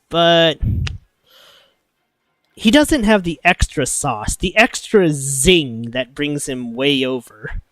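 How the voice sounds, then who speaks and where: Animated, sniffly guy breaking down a game. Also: high voice, no echo. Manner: casual, swears and laughs a lot. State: sniffly, coming down with a cold. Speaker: streamer.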